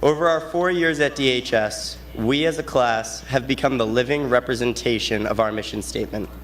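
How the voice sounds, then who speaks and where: A man's voice: medium pitch, normal speed, fairly bright tone. A young man, giving a prepared graduation speech as a student. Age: late teens.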